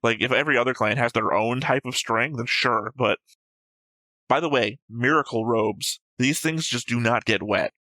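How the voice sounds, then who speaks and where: A man's voice: mid-range voice, moderate pace, bright, forceful tone, dry recording, poor audio. A man chatting casually as a reaction show host, blunt and argumentative, with frequent swearing. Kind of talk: casual chat.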